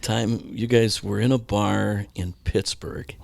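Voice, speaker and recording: Gravelly-voiced man swapping wry, casual stories. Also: fairly low pitch, fairly even intonation, slow pace, a little mellow, dry recording. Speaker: podcast guest, hockey radio play-by-play broadcaster. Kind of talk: casual storytelling banter.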